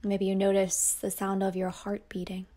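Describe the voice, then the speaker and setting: A woman teacher guiding a yoga nidra meditation in a slow, soft voice that often drops toward a whisper. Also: medium pitch, completely flat delivery. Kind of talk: guided meditation.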